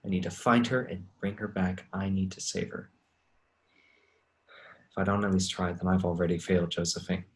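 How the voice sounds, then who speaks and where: A man playing an anxious, grieving widower in a staged play reading, speaking in a halting, earnest way. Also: deep voice, little pitch movement. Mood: anxious, pleading. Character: grieving anxious widower searching for runaway young sister-in-law.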